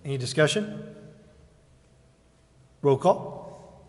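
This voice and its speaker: A man's voice: a little high, expressive, drawn-out words. An American man chairing a formal meeting, reading agenda items and procedure in an echoing chamber. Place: indoor council chamber.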